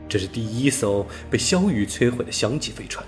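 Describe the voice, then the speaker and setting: A man reading a science fiction novel aloud as an audiobook narrator, steady and storytelling, lifting his voice on key words. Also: medium pitch, fairly quick, soft background music. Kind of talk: scripted sci-fi novel narration.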